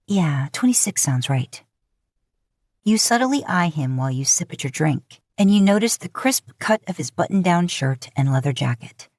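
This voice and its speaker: A woman with a low voice narrates romantic fan fiction in the second person. The recording is dry and close, and the delivery is that of a scripted audio story. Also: a little mellow, dry recording. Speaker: podfic narrator.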